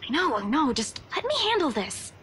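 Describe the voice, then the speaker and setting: A woman playing a brisk, teasing young office worker in a visual novel game, with a playful, sarcastic and dismissive manner. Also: high voice, very animated, a bit bright, a little hiss or hum. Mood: brisk, apologetic. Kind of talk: voice-acted visual novel dialogue.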